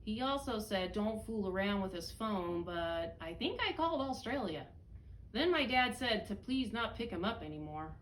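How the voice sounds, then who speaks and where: A woman's voice: mid-range voice, sing-song, normal speed, fairly bright tone, low-quality recording. A middle-aged American woman, a school principal, reading a storybook aloud expressively for children. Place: indoors, small library room with bookshelves. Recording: faint background room noise.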